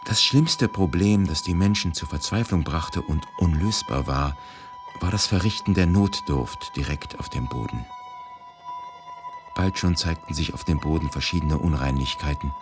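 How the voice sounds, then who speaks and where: A man reading scripted documentary narration for radio in a grave, measured, deliberate manner. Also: rumbling low. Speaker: reader of a historical eyewitness testimony. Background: music.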